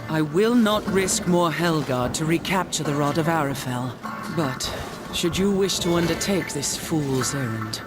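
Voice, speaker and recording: A woman's voice: deep voice, animated, measured pace. A woman playing a proud, weary warrior angel commander in a video game, speaking in a solemn, stern and formal way. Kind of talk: dramatic video game acting. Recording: music behind the voice.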